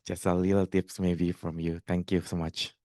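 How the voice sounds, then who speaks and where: A man's voice: low-pitched, flat delivery, normal speed, dark tone, dry recording, studio quality. A man from Indonesia with an Indonesian accent, asking a polite question as an audience member, hesitant and searching for words. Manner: hesitant, searching for words in non-native English.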